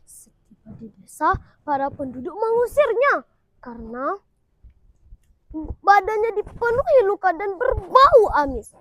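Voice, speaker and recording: Very high-pitched, animated young girl storyteller. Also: dry recording. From Indonesia. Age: child, primary school pupil. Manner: dramatic, declamatory delivery. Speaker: student storyteller. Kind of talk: theatrical folktale storytelling.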